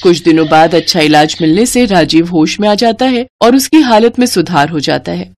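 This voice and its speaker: A woman's voice: fairly low pitch, moderate pace, a little mellow, no room sound. A woman reading a scripted story as its narrator.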